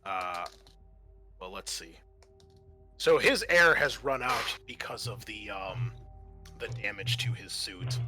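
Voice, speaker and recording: A man's voice: fairly low pitch, animated, slow pace, long pauses, pushed and bright, breathy edge, faint music behind. A man narrating a tabletop roleplaying game as game master in a hesitant, conversational way.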